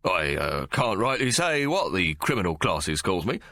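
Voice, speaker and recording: A man voicing a cocky, uneducated Victorian policeman with rough, ungrammatical speech. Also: sits low, sing-song, normal speed, pushed and bright, no echo, clean studio sound, full character voice.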